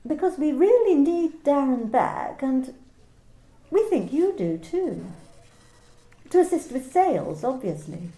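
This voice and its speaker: An actress playing a smooth, coolly polite corporate manager who talks in bland, bureaucratic phrases. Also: female voice, sits high, sing-song, a little slow, mellow, roomy sound, full character voice. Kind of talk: amateur radio play reading.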